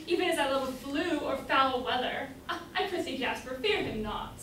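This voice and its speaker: High-voiced woman as devoted young daughter, echoey room. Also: animated, moderate pace, fairly bright tone. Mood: bold, loving. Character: devoted, bold young merchant's daughter, witty and constant. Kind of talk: staged reading of period stage comedy. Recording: echoey room from far off, faint noise.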